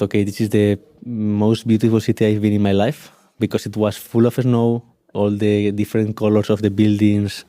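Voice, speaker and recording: Man, Spanish accent, thirties, journalist, dark mellow voice, dry sound, faint music behind. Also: on the low side, no room sound. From Spain. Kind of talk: casual interview.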